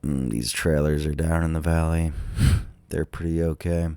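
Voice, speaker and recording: A sick man with a cold thinks aloud in a casual, hesitant, musing way, with many ums and trailing pauses, as he narrates a vlog. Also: rumbling low, steady tone, warm tone, dry recording. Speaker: vlogger touring tornado damage on a map.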